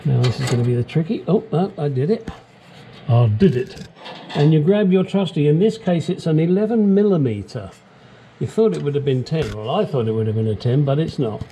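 A man's voice: a little high, lively intonation, brisk, warm tone, slight background noise. A man talking casually as he works under a car in a home garage, explaining each step, swearing now and then and cracking crude jokes, picked up by a small action camera. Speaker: DIY car mechanic. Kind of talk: casual how-to narration while working.

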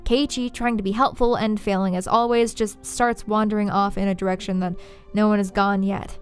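A woman video essayist reading scripted story analysis, dramatically, with deliberate pauses. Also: mid-range voice, little pitch movement, soft background music.

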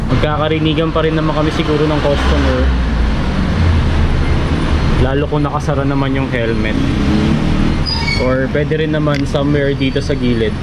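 A man gives a casual, conversational product review, explaining things and mixing in English phrases. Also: fairly high pitch. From Philippines. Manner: explanatory, conversational, Taglish code-switching. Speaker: vlogger reviewing motorcycle gear. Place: small open-fronted motorcycle shop by a road. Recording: loud street and vehicle noise.

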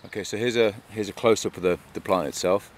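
Low-voiced English man outdoors. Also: quick pace. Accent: Lancashire. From England. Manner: relaxed, explanatory, with frequent fillers and restarts. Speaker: bushcraft instructor. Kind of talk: casual outdoor demonstration. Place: outdoors in a grassy meadow by woodland. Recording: faint outdoor background noise.

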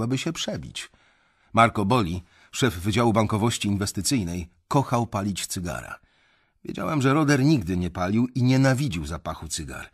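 Low, husky, resentful young banker. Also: male voice. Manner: bitter, confessional storytelling. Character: resentful young male London investment banker, narrating. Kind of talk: radio drama narration.

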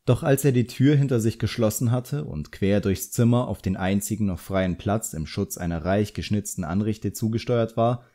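Low, mellow male audiobook narrator. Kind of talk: scripted narration of a fantasy novel.

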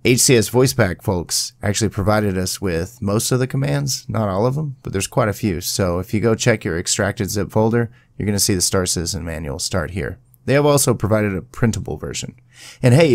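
A man presenting a casual step-by-step software tutorial in an informal, instructional way. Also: fairly low pitch, no room sound, studio quality.

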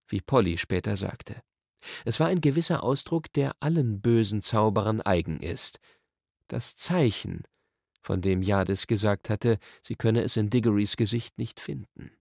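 A man's voice: on the low side, vocal fry, no room sound, clean studio sound. A man reading a children's fantasy novel aloud as an audiobook narrator. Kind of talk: scripted storybook narration.

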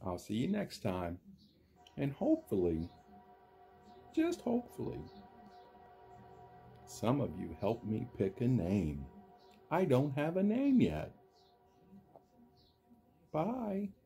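A man voicing a friendly cartoon dinosaur who reads a children's storybook theatrically, husky, drawing out words and putting questions to young listeners. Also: medium pitch, huge pitch swings, slow, long pauses, dark tone, faint music behind, heavily acted. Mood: friendly, chatty. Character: friendly green dinosaur puppet, animated children's storytime reader. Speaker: storytime reader for a school class. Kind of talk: children's storybook read-aloud with asides.

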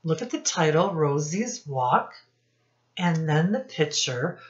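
A middle-aged woman giving a read-aloud lesson to young children, speaking deliberately and instructively as their teacher. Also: low-pitched, very animated, muffled recording. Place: small indoor room with posters.

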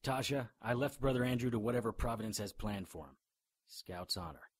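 An actor playing a wry, resolute hero in a radio drama, with a casual manner. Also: male voice, mid-range voice, fairly quick, husky, natural voice.

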